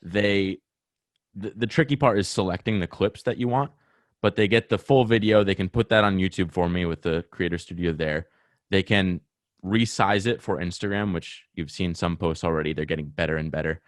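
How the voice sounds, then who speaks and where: Man, Rhode Island accent, young adult, podcast host, rambling explainer, deep voice, dry sound. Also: moderate pace, no room sound. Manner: explains in long rambling runs, systems-minded. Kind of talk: casual podcast chat.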